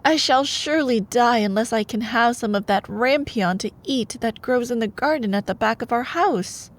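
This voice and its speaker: Lady doing a pining fairytale wife, plaintive, dramatic, kinda high voice. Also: normal speed, close to an everyday voice.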